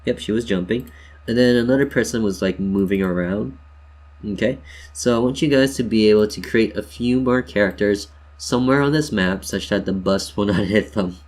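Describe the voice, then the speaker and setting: Low-voiced man giving a casual tutorial. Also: normal speed, a little mellow. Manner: playful, jokey, addresses viewers as "you guys". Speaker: tutorial host. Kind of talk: casual instructional screen-share narration.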